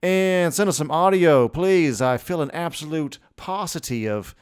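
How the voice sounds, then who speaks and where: A man's voice: on the high side, a little slow, clean tone. A man co-hosting a podcast, chatting casually with an irreverent, joking manner. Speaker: podcast co-host. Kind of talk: casual chat and banter.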